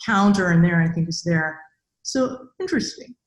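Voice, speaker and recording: Middle-aged lady hosting an interview, kinda low voice, chatty, echoey room on a video call. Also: animated, moderate pace. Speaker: podcast host, robotics academic. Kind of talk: conversational interview. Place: indoor room with bare walls.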